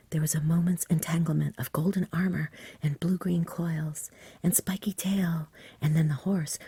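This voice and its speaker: A woman reading a story in a hushed, soothing ASMR whisper, close to the microphone. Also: on the low side, no echo. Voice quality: breathy whisper. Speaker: ASMR reader.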